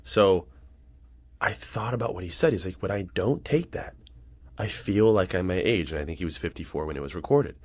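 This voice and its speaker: A man's voice: low-pitched, expressive, a little fast, a little mellow. A man in his late thirties chatting casually on a podcast in an earnest, reflective, rambling way. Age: late 30s. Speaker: podcast conversation partner.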